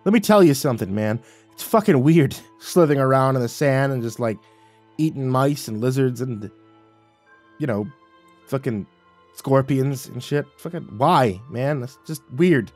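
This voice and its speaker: A man's voice: medium pitch, normal speed, faint music behind, natural voice. A man voicing an exasperated, sarcastic, foul-mouthed man who is easily flustered, in blunt, slangy audiobook dialogue. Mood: annoyed, incredulous. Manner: blunt, slangy, excitable, stammering when flustered.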